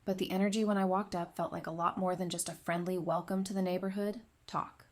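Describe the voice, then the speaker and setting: A woman's voice: lowish voice, flat delivery, brisk, lightly acted. A woman playing a frank, loyal and caring friend in an audio drama. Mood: stern, confronting.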